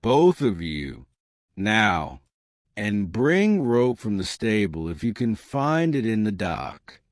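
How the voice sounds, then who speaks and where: Male reader as decisive colonel, storybook dialogue, clipped authority, lowish pitch, expressive rise and fall. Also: slow pace, no room sound, clean studio sound. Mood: urgent commanding. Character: commanding male army colonel, grave and decisive. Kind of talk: audiobook character dialogue.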